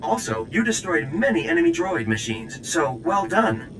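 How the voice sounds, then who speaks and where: A formal, cryptic droid ambassador from a sci-fi game. The pitch sits fairly low but leaps about wildly between stiff, ceremonious phrases. A clear rumbling noise runs behind. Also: male voice, wildly swooping pitch, moderate pace. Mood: formal, declarative. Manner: stilted, odd geometric phrasing, formal. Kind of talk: video game character acting.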